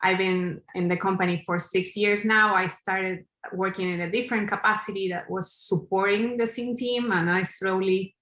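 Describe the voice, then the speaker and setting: A woman from Argentina introducing herself as a panel guest on a video call, friendly and halting. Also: mid-range voice, steady tone, a bit bright, echoey room. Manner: friendly, halting, with many fillers. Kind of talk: casual self-introduction. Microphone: webcam on video call.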